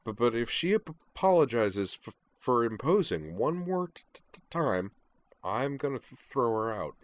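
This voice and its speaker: A man voicing a shy teenage girl student with a heavy stutter who speaks softly and hesitantly. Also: medium pitch, big pitch swings, moderate pace, no room sound, voice pushed up, close to an everyday voice. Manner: soft, hesitant.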